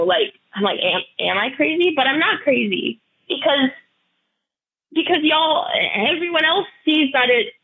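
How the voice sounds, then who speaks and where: Hoarse woman calling in over the phone. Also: fairly high pitch. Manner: halting, earnest, many restarts and fillers. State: losing her voice, froggy. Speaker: caller. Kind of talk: phoned-in personal update. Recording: tinny phone line.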